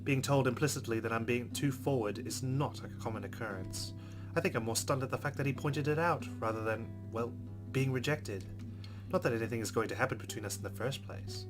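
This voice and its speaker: A man hosting a let's play, reading a visual novel aloud with expressive delivery and quick asides. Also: medium pitch. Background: game music.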